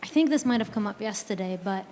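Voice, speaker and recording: A woman whose first language is Arabic, asking a panel a polite, halting question from the audience. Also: medium pitch, lively intonation, dark tone. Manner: polite, hesitant, restarts and apologizes. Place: hall at a symposium. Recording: long reverb in a hall, faint noise.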